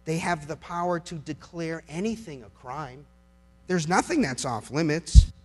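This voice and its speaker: A man with a notably high voice delivers a persuasive legal and political speech. He is emphatic and incredulous, leaning on rhetorical questions as he argues like a lawyer addressing the public. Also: unhurried. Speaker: lawyer giving a public talk.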